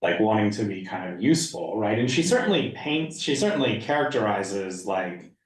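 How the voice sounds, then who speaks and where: A man leading a casual group discussion, halting and hedging as he thinks aloud. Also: lowish voice, very animated. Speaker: reading group discussion leader. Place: indoor room at a group meeting. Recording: echoey room, distant mic.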